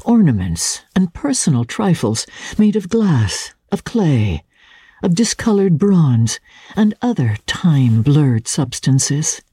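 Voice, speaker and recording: A woman with a husky voice gives a measured, dramatic reading of classic literature as an audiobook narrator. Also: deep voice, very animated, mellow, no room sound, studio quality.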